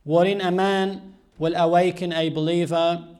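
A man with a high voice delivers an earnest religious sermon. He speaks slowly and deliberately, pausing often between phrases as he teaches. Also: little pitch movement, darkish tone. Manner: measured, earnest teaching with frequent pauses. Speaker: Islamic preacher.

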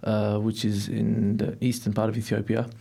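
Reflective young man, low voice. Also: completely flat delivery, normal speed, creaky, dark tone. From Melbourne, Australia. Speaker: interview guest. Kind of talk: conversational interview answers. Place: studio.

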